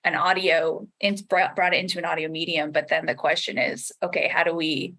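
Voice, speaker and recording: A woman's voice: sits low, flat delivery, a little fast, bright, forceful tone, low-quality recording. A woman podcast creator and scholar speaking casually and analytically in a panel discussion, working through her ideas. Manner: thoughtful, analytical, hedging with frequent "like".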